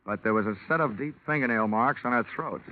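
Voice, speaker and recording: An actor playing a wisecracking, shrewd insurance investigator in a 1950s radio detective show, with sardonic, punchy, slangy delivery. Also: male voice, mid-range voice, brisk, natural voice. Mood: sardonic, probing. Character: wisecracking hard-boiled male insurance investigator, brash and shrewd. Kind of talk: 1950s radio detective acting and first-person narration. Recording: muffled, hissy old radio recording.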